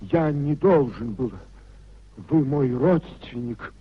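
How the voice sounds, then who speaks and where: An actor playing a poor, ashamed idealist pleading haltingly and apologetically, husky-voiced, in 1950s radio drama style. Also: male voice, mid-range voice, lively intonation. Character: humbled idealist nephew, poor, ashamed petitioner. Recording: dull 1950s radio recording.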